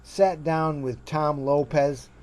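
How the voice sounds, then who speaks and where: A man's voice: fairly high pitch, fairly even intonation, slow, a little mellow. A man hosting, giving an appreciative spoken introduction in short, separated phrases. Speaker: host introducing an interview.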